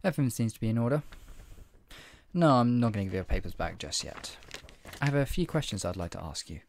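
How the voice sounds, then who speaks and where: A man's voice: mid-range voice, animated, fast talker, darkish tone, a little hiss or hum, close to an everyday voice. A man playing a stern, menacing redcoat soldier in a scripted roleplay interrogation, with a clipped, authoritative, condescendingly polite manner. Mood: brisk, officious, then firm, warning. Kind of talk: ASMR roleplay, one-sided scripted dialogue.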